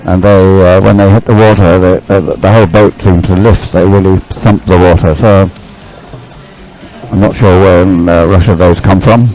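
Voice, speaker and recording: A man's voice: deep voice, steady tone, moderate pace, dark tone, soft background music, rough recording. A man giving live race commentary as a sports commentator, in a hesitant way, as if thinking aloud.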